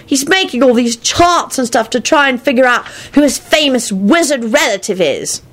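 A woman's voice: high voice, expressive, moderate pace, a bit bright, voice pushed up. A woman voicing a sulky, cheeky young wizard boy in a storybook, blurting things out in a childish whine. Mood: complaining.

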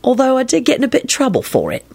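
A woman's voice: high voice, wildly swooping pitch, very fast, gravelly, a little mellow, breathy edge, pitched above the performer's own voice, exaggerated voice. A woman voicing a cheeky, roguish man in a story, breezy and casual. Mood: cheerful, cheeky.

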